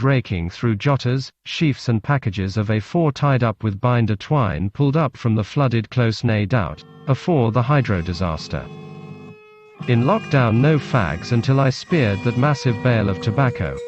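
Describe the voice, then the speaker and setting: A man with a Scottish accent reads a literary story laced with Scots words in a deadpan, clipped manner. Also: mid-range voice, a little slow, no room sound, soft background music. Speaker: narrator, storyteller. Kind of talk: literary story reading in Scots-flecked English. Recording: muffled lo-fi recording with background noise.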